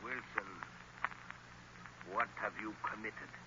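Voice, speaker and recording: An actor playing a commanding, scheming hypnotist professor with a deliberate, menacing manner in 1930s radio drama style. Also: male voice, fairly low pitch, flat delivery. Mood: alarmed, commanding. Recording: muffled, noisy 1930s radio recording.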